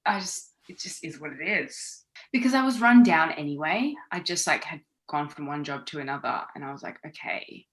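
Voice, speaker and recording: A woman's voice: deep voice, lively intonation, moderate pace. A woman in her thirties from Australia, a podcast guest chatting casually with lots of fillers and restarts. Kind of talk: casual chat. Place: home in London.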